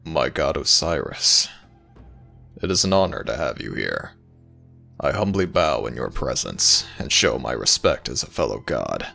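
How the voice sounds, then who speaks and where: Stern tired god of the underworld, super deep n gravelly, bright edge, formal asmr roleplay. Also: male voice, very animated, faint music behind, heavily acted. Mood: formal, respectful. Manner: formal, commanding, measured. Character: Anubis, stern, weary male god of the dead. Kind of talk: ASMR audio roleplay acting.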